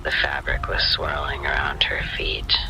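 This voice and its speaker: A man narrating a scripted spooky story in the first person, in a hushed, deliberate, suspenseful manner. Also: very deep voice, monotone, in a whisper, poor audio.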